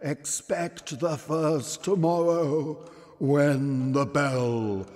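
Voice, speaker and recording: A mournful ghost, super slow n drawn out, huge reverb, spooky solemn warnings. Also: male voice, fairly high pitch, fairly even intonation, a little mellow. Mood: solemn, commanding. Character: mournful, ominous male ghost warning an old partner. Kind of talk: audio drama acting of Victorian fiction. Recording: long cavernous reverb with music.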